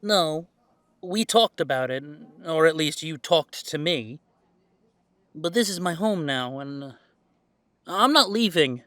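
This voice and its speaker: Guy acting young werewolf son, earnest n defiant, highish voice, super dry close recording, audio drama. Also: expressive, slow, pauses often, fairly bright tone, dry recording. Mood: firm defiance.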